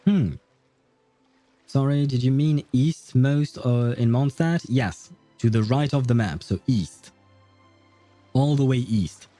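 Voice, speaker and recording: Mellow-voiced man streaming a game. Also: mid-range voice, slow, pauses often, dry recording, faint music behind. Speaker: livestream host. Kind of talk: casual chat while streaming a game.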